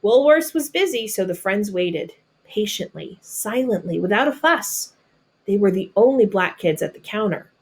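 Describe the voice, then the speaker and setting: A woman's voice: medium pitch, animated, normal speed, breathy edge. American woman reading aloud to children. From North Carolina, United States. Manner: explains simply and patiently to children. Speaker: teacher reading a picture book aloud. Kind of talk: children's read-aloud with explanatory asides.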